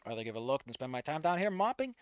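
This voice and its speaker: A man's voice: medium pitch, sing-song, fast talker, clean tone, no echo. A man reading fan fiction aloud, breaking off to swear and comment on it.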